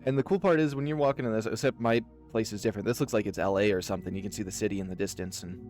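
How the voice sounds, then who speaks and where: A man's voice: lowish voice, very fast, darkish tone, faint music behind. A man from Oregon hosting a let's play, telling rambling anecdotes in a casual way, full of fillers. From Oregon, USA. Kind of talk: casual storytelling while playing a visual novel.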